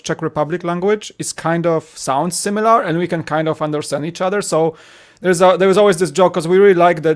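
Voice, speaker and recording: A man with a Polish accent talks in a casual podcast chat, explaining things at length and stopping to restart his sentences. His voice sits a bit high for a man. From Poland. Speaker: podcast co-host.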